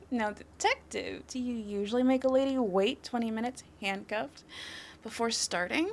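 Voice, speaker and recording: Slow, teasing, flirty female crime suspect acted by a woman. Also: a little high, darkish tone, a little hiss or hum. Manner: teasing, confident, coy, drawn-out delivery. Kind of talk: flirtatious audio roleplay acting.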